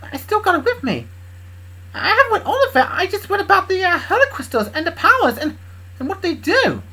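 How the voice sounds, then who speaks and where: A woman's voice: big pitch swings, brisk, bright, forceful tone, exaggerated voice, rough recording. A woman voicing a defensive, resentful young woman who rambles and stumbles over her words. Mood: eager. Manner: rambling, stumbling, high and strained. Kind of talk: improvised fan story voicing.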